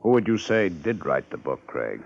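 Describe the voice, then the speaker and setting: Animated, inquiring police lieutenant. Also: male voice, deep voice, gravelly, heavily acted. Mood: questioning. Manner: brisk, puzzled questioning. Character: inquiring, plain-spoken male police lieutenant. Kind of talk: 1950s radio detective drama acting. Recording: dull old radio recording.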